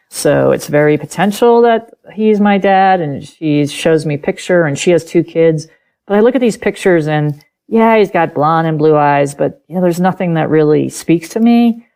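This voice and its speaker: Low gravelly-voiced German-native woman. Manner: candid, animated, acts out past conversations and laughs often. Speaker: author and podcast guest. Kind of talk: personal storytelling in an interview.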